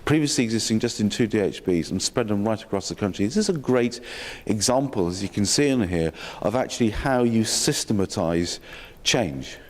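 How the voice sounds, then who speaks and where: A man with a slightly croaky voice giving a welcome speech at a health sector session, with dry self-mocking humour and many ums and restarts. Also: fairly low pitch, animated, normal speed. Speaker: opening speaker at a health sector learning session. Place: conference hall. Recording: long hall reverb.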